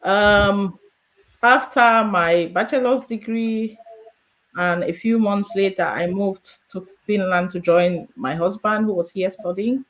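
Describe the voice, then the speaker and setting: A woman's voice: medium pitch, takes it slowly, rough recording. A woman in her thirties with a Cameroonian accent, answering interview questions in a conversational, storytelling way. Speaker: guest, immigrant in politics.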